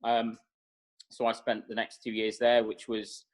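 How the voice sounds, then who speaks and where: A man in his thirties talks over a video call with a flat, even tone. He answers at a steady pace, with many fillers and small restarts. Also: medium pitch, unhurried. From North Wales. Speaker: executive chef, guest. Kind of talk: interview answers on a webinar.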